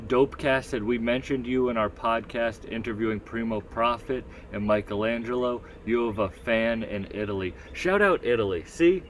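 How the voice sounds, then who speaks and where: A man's voice: mid-range voice, slight background noise. A man with a Northeastern US accent rambling through a casual solo livestream, swearing freely and reading the chat aloud. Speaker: livestream host.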